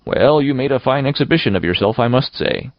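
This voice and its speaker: A stern middle-aged woman from a storybook, played by a man, severe and scolding. The delivery is dry and creaky, with lively rises and falls in a slightly dull recording. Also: medium pitch, brisk, no echo, voice pushed up, lightly acted.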